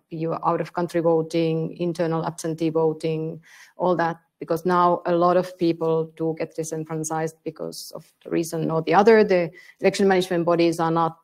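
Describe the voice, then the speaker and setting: A woman with a Finnish accent speaks on a conference stage, working through her answer slowly with many fillers. Her voice sits a little low and has a gravelly fry to it. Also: a little mellow. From Finland. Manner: thoughtful, searching for words with many fillers. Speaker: panelist on elections. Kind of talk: panel discussion answer. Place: conference room with a panel on stage.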